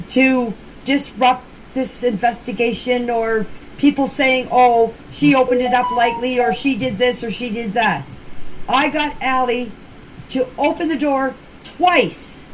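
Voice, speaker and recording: A woman with a fairly high voice talks casually, as if leaving voice messages. Her delivery is plain-spoken and folksy, wandering as she explains herself. There is some background noise and ambience in the recording. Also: poor audio.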